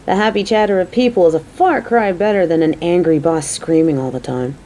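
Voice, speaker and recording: A woman's voice: on the low side, a little fast, dark tone, a little hiss or hum, lightly acted, rough recording. A woman playing a caring, playful coworker on a date in an audio roleplay, talking to the listener in a motherly, teasing, chatty way. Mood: grumbling.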